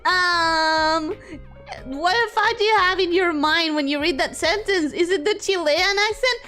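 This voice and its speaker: High, bright-voiced young woman streamer. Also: measured pace, soft background music. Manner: expressive, swings between high squeals and low mutters. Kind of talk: casual chat reading viewer messages.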